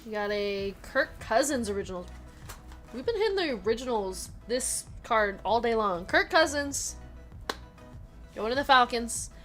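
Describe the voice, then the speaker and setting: A woman's voice: on the high side, sing-song, unhurried, a bit bright. A woman hosting a live card break in casual chat, laughing often as she reads out players and numbers. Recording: music playing behind.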